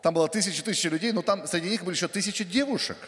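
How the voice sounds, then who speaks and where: A middle-aged man interprets a sermon line by line, rushing through with fillers and quick restarts. His voice sits fairly high and moves fast. A long reverb from a large church hall hangs behind him. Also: a bit bright. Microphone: handheld mic.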